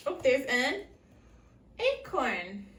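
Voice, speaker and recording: High, lively woman teaching preschoolers, echoey room. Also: extremely slow. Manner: simple short phrases with repeated prompts and questions. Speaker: English teacher of young children. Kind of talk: interactive preschool read-aloud lesson. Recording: echoey room, distant mic, faint noise.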